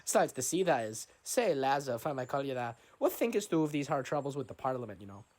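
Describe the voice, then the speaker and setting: A man's voice: medium pitch, sing-song, fast talker, a little mellow, pitched above the performer's own voice, lightly acted. A man voicing a chatty, nosy old riverboat captain with a put-on brogue, rambling and tagging "you know" onto his sentences. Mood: jovial. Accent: put-on Scottish-like brogue.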